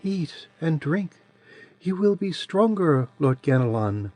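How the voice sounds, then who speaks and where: A man's voice: on the high side, big pitch swings, slow pace, no echo, voice pushed up, heavily acted. A man doing Adiran, a sweet-voiced ancient crone. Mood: eerie calm. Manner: soft and quiet. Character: ancient tiny crone of a coven, sweet childish voice. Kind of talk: audiobook character voice.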